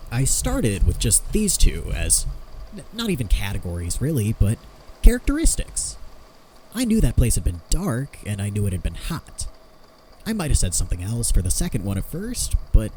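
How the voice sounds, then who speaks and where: Eager self-deprecating male inventor actor role, audio drama, lively swooping delivery, soft-edged tone, chatty and rambling. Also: lowish voice, a little hiss or hum, poor audio. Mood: sheepish, earnest, then reflective, fascinated. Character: eager amateur inventor explaining his homemade theory of strange phenomena. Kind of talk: fan fiction audio drama, conversational monologue.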